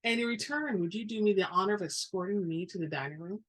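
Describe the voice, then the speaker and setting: Poised, husky noblewoman read by a woman. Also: medium pitch, lightly acted. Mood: gracious. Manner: formal, measured. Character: poised noblewoman hostess, polite and dry.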